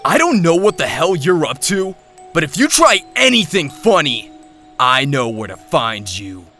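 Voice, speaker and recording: Male actor as hot-headed high school bully: threatening, contemptuous, sharp-edged, wildly varied pitch, anime-style drama. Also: sing-song, a little slow, faint music behind, heavily acted. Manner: menacing, sneering.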